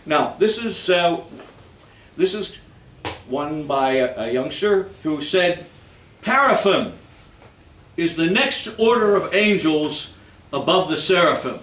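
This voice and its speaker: Male stage host, unhurried and halting, a bit high-voiced, for jokey live holiday readings. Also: expressive, a bit bright, far from the mic. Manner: halting, repeats words, jokey. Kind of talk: informal live stage presentation with comic readings. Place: live before an audience in an echoey room. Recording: echoey, noisy hall recorded from afar.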